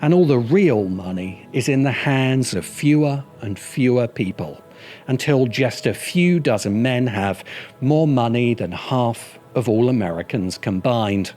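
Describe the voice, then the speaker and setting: A man reading scripted video essay narration in a measured, emphatic and wry manner. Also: mid-range voice, animated, a little mellow. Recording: music bed under the voice. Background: background music.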